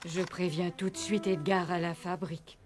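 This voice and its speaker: A woman voicing a strict, businesslike cartoon elf supervisor, brisk and scolding. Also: lowish voice, steady tone, close to an everyday voice. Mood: stern. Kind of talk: animated cartoon dubbing. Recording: music behind the voice.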